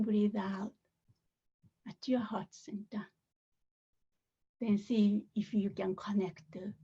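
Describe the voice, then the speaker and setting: A woman meditation teacher leading a guided meditation softly and gently, with many pauses and a breathy voice that often nears a whisper. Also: medium pitch, highs cut off.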